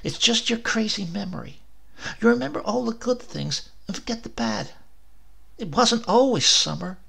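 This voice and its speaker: A man's voice: high voice, lively intonation, normal speed, gravelly, pushed and bright, breathy edge, pitched above the performer's own voice, exaggerated voice. A man voicing a suspicious, scornful elderly wife, curt and scolding. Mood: dismissive.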